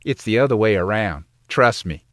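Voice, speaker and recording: An actor playing a devoted but anxious, scheming husband in an audio drama. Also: male voice, on the low side, expressive, moderate pace, dry recording, studio quality, natural voice. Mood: tender, reassuring.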